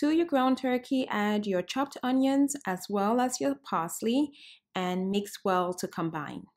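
A cheerful woman in her thirties hosts a home cooking tutorial, chatting straight to her viewers. Her voice sits at an ordinary middle pitch and she talks in a friendly, upbeat way. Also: animated, measured pace. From Cameroon. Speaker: cooking show host. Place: bright home room, indoors.

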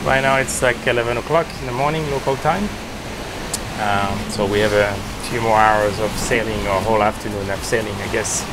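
A man talking casually as a sailing vlogger, explaining things in a hesitant, halting way outdoors on a boat. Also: lowish voice, fairly even intonation. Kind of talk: casual explanatory vlog talk. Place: outdoors aboard a sailboat at sea. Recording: noisy with wind, water and boat sounds.

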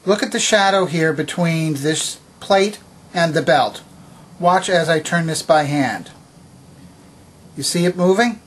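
A man presenting a hands-on demonstration in a methodical, explanatory way, with a slightly husky voice. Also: on the high side, a little slow, long pauses, a little hiss or hum. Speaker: presenter demonstrating vintage tape recorder. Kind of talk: instructional demonstration narration. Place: indoor home workbench.

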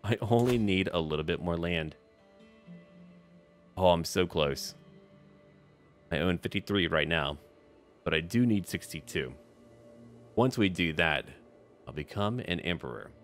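A man's voice: deep voice, animated, a little slow, pauses often. A man giving casual, in-character commentary on a strategy game, thinking aloud in short remarks as if talking to the characters. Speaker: gaming video host. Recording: music behind the voice. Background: background music.

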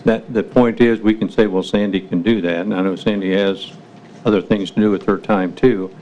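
Council chairman, middle-aged, very deep and monotone, dull lo-fi table mic. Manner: measured, hesitant with fillers. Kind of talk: chairing a city meeting. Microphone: table microphone. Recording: dull meeting recording, faint noise.

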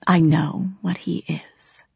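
A woman's voice: fairly low pitch, unhurried, darkish tone, husky, dull audio. Woman voicing a haughty, patronizing male cat in a roomy recording. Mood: cool, measured warning. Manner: patronizing, disdainful, formal. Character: haughty, aloof male talking faery cat.

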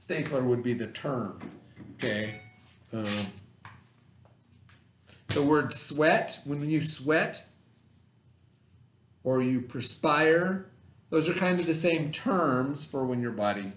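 A man's voice: mid-range voice, expressive, slow, pauses often. A middle-aged man teaching a remote lesson to children, explaining simply, repeating key terms and checking understanding with short tags. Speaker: elementary school teacher. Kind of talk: remote read-aloud vocabulary lesson for children. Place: classroom, indoors.

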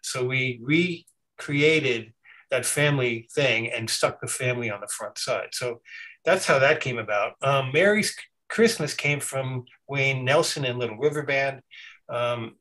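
Male musician guest, off-mic, halting casual talk. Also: medium pitch, fairly bright tone. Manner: halting, self-correcting storyteller. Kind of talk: casual interview chat. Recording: distant from the mic.

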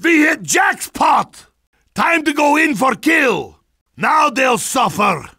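A boozy, sardonic Russian soldier for a video game. His voice sits high and swings widely, with a forceful edge. He blusters and mocks in thick Russian-accented broken English, dropping his articles. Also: very animated, takes it slowly, vocal fry, no room sound, clean studio sound, exaggerated voice. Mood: battle excitement. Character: hard-drinking boisterous Russian soldier, gruff and sardonic. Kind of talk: video game character barks.

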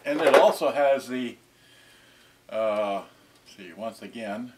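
A man in his sixties talking casually and haltingly, muttering to himself as he demonstrates something to a camera a short distance away in a small indoor room. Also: medium pitch. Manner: halting, muttering to himself while handling items. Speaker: vintage electronics unboxing vlogger. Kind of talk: casual off-the-cuff demonstration talk.

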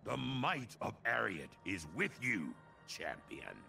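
An actor playing the ghost of a proud old warrior chieftain in a fantasy game, solemn and stately, with a gravelly voice. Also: male voice, lowish voice, big pitch swings, slow pace, bright, forceful tone, full character voice. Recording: music behind the voice.

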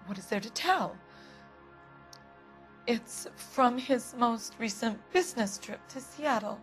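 Anxious, prim American wife, music behind. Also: female voice, a little high, lively intonation, slow pace. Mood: guarded, worried. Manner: defensive, easily affronted. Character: prim, anxious, indignant wife of a missing man. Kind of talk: dramatic game cutscene acting.

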